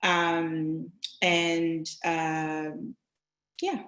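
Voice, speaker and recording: A woman from Togo in West Africa speaking as a panelist on a video call, hesitant and pausing often. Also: sits low, little pitch movement, fairly bright tone. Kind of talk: self-introduction on a panel. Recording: roomy online video call.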